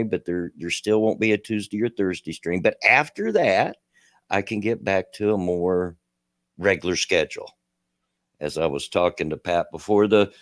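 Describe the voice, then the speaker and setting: Low-voiced man with a redneck drawl. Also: no room sound, clean studio sound. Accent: Kentucky and Tennessee redneck. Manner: rambling, self-deprecating, laughs often. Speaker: livestream host. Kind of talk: casual livestream chat.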